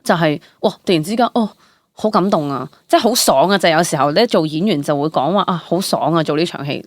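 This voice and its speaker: A woman's voice: low voice, fast talker, no echo, studio quality. A woman in her late twenties with a Hong Kong Cantonese accent, chatting casually as an interview guest, exclaiming as she tells things and mixing in English words. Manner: animated storytelling with exclamations, English words mixed in. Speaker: guest, stage actress. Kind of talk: casual personal interview chat.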